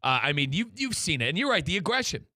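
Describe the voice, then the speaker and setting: Bright, punchy male sports show host. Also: a little high, big pitch swings, fast talker, no room sound, clean studio sound. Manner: opinionated, emphatic. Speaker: soccer show host and radio play-by-play commentator. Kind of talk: casual sports talk.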